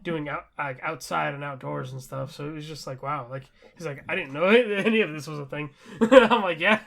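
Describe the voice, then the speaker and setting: A young adult man co-hosting a casual chat in an enthusiastic, excitable way. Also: on the high side, moderate pace, vocal fry. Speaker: podcast co-host.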